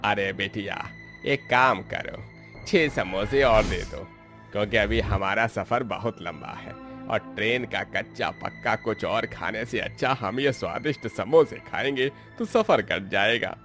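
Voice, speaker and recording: A man's voice: lowish voice, measured pace, fairly bright tone, faint music behind. A man acting friendly, appreciative male characters in the dialogue of a moral story. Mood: pleased. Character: friendly, appreciative male railway customers and supportive husband.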